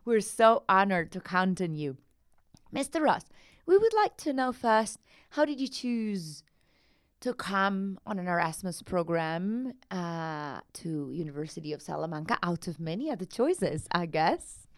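A woman's voice: lowish voice, very animated, unhurried, no echo, clean studio sound. A woman with a tongue hosting a radio interview, enthusiastic and effusive, sometimes searching for words in her questions. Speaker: radio host.

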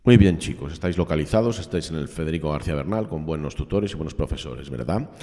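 Deep voiced middle aged guy from spain hosting school radio, genial n a bit formal, big reverb. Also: lively intonation, fast talker, mellow. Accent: Spanish from Spain. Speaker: host of a school radio programme. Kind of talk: informal radio interview. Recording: long hall-like reverb.

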